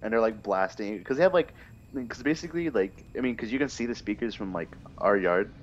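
A man's voice: fairly low pitch, animated, fairly quick, highs cut off, a little hiss or hum. A young adult man chatting casually while gaming, griping and rambling with plenty of swearing. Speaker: gamer telling stories while playing. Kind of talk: casual chat during online gameplay.

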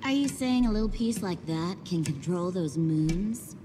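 Brash, animated fighter girl. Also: on the low side, a little slow. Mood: sceptical. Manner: taunting, brash. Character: cocky, bloodthirsty young female fighter, playfully menacing. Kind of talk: video game cutscene voice acting. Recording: reverberant hall, clearly noisy background.

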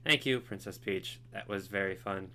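A man voicing a sweet, polite young princess in a game, raising his voice softly. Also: sits low, normal speed, a little hiss or hum, exaggerated voice. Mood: gentle.

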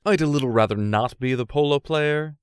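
An actor playing an arrogant, wealthy husband, aloof and clipped, in an audiobook drama. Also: male voice, fairly high pitch, brisk, clear, resonant tone, no room sound, clean studio sound, lightly acted. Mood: pleasantly dismissive.

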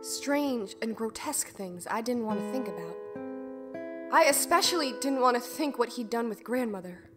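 Woman playing a young fairy tale girl survivor, kinda high voice, bitter n intense, dramatic audio play storytelling over music. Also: lively intonation, close to an everyday voice. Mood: haunted, regretful. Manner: intense, deliberate, emotional storytelling. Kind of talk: dramatic first-person audio drama monologue.

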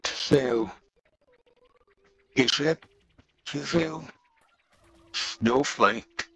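A man comments casually on a game through a voice changer. His voice is rough and croaky, with a husky edge. He talks very slowly, in short fragments that he often repeats. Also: medium pitch, a bit bright. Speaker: gamer recording gameplay.

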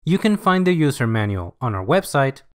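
Male tutorial narrator, mid-pitched, instructional and measured, with varied, lively intonation. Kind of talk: scripted software tutorial narration.

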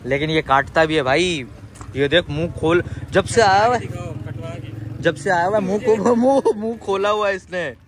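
A man's voice: sits high, moderate pace. A young man in his twenties with a sore throat, chatting through a casual travel vlog to a handheld camera, first in a yard and then in a car. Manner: excited, calls out loudly when flustered. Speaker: vlogger. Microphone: handheld camera at arm's length. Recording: noisy street and car background.

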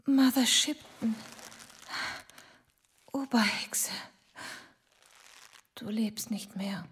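An actress playing a frightened young witch in a horror audio drama, speaking in a halting, drawn-out way. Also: on the high side, slight background noise. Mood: grieving, hushed. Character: frightened young witch, captive, grieving and horrified.

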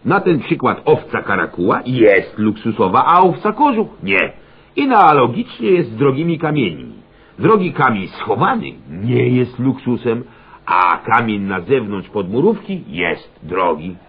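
An actor playing a flustered, servile male teacher in satirical radio comedy, hesitant, obsequious to superiors and quick to scold pupils, with a husky voice. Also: mid-range voice, sing-song, heavily acted. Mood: pompous. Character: flustered, servile male teacher fawning over a school inspector. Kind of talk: satirical radio cabaret acting. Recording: dull lo-fi radio recording.

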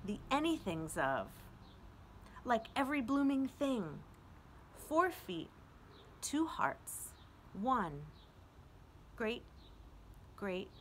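Unhurried woman reading aloud outdoors. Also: fairly high pitch, sing-song, fairly bright tone. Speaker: children's librarian and story-time host. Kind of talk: children's poetry read-aloud with informal introduction. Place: outdoors on a front porch. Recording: outdoor porch ambience, light street noise.

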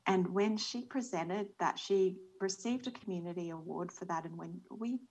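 Soft-spoken Australian woman on a video call. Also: lowish voice, normal speed, slightly rough, low-quality recording. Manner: earnest, thoughtful, soft-spoken. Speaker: panel guest. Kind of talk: reflective panel talk. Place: small indoor room. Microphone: video call webcam.

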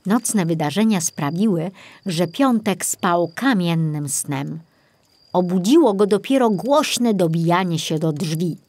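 A woman reads a children's story as its narrator. Her delivery is animated and slow, and the sound is dry and studio-close. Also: lowish voice, dry recording. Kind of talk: expressive scripted storybook narration.

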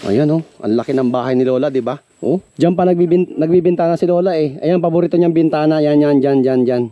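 A man vlogging as he walks through an overgrown yard, chatting to viewers as he guides them along, sometimes going quiet and hushed. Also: fairly high pitch, fast, muffled recording. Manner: chatty, guiding viewers, hushed when uneasy. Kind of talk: casual tour narration. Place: overgrown rural yard outdoors.

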